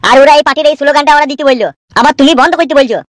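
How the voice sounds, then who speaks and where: A high-voiced performer, heard as a woman, voicing a cheeky, complaining young nephew in comic cartoon dialogue. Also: rapid-fire, no room sound, studio quality, close to an everyday voice. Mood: protesting.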